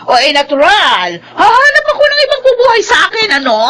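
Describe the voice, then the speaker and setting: An actress playing a brash, materialistic woman in a Filipino radio drama, loud, sassy and full of exclamations. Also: very high voice, sing-song, a little fast, exaggerated voice. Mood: brazen, dismissive. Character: brash, materialistic woman leaving her broke lover.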